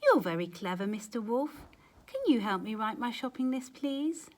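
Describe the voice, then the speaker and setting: A woman voicing a polite, gently hopeful male wolf from a children's storybook, pleading and put-upon. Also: on the high side, sing-song, normal speed. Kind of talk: children's storybook character reading.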